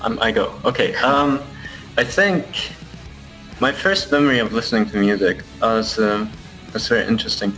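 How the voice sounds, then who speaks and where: A man's voice: fairly low pitch, normal speed, sharp tone, soft background music, rough recording. A man, answering interview questions thoughtfully and with hesitation. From Finland. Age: 20s. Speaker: guitarist in a rock band.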